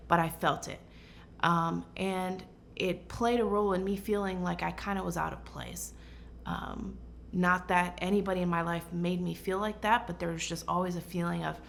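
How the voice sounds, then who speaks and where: A woman's voice: lowish voice, gravelly, a bit bright, a little hiss or hum. A woman who grew up in Portugal, speaking earnestly and thoughtfully as a brand founder and designer in an interview. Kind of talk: reflective interview answers.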